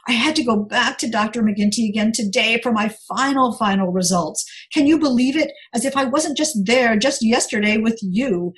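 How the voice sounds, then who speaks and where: Distraught female caller for an audiobook thriller, read by a woman narrator, high-pitched, halting and pleading. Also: lightly acted. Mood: despairing. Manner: pleading, halting, repeating words. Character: distraught, tearful young woman on a phone call.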